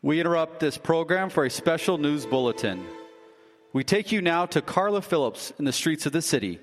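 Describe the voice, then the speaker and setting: Casting: a man as a measured radio announcer with a lively, varied voice, in a hall with long reverb. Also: a little high, soft background music, close to an everyday voice. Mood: announcing. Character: radio station announcer, smooth. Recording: reverberant hall, faint noise.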